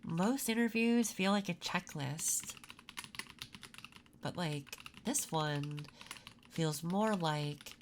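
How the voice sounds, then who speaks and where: A low-voiced female interviewer, acted by a woman in an ASMR roleplay, curious and gently flirtatious. She talks softly and takes her time, keeping an intimate, attentive manner. A faint noise sits behind her voice. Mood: softly warm. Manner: soft-spoken, unhurried, intimate.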